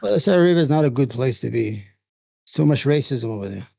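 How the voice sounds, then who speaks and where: Brief: blunt debating man on a call, gravelly, dry close sound. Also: mid-range voice, expressive, fast, mellow, no room sound. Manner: blunt, provocative, broken phrasing. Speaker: debate guest. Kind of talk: heated online debate.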